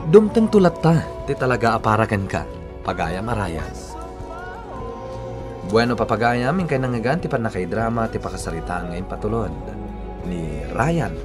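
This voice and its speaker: A man with an Ilocano accent hosting a radio drama, giving scripted narration and advice to a listener. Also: fairly low pitch. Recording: loud-ish music bed behind voice.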